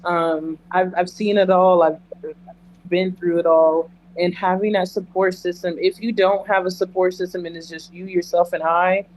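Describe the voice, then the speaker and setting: A man's voice: high-pitched, little pitch movement, moderate pace, rough recording. A man speaking candidly and emphatically as a guest in a conversational interview, repeating phrases for emphasis. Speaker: interview guest, emergency dispatcher. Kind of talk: earnest conversational interview answers.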